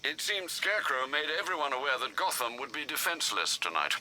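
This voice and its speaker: An actor playing a proper, concerned butler aide in a video game, speaking with formal, dry understatement. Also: male voice, medium pitch, very animated, a little fast, sharp tone, slight background noise. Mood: dry, informative. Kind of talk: video game character acting. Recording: music behind the voice.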